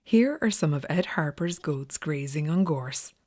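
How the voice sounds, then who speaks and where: A woman's voice: sits low, lively intonation, a little slow, darkish tone. A woman, narrating a travel documentary as its presenter.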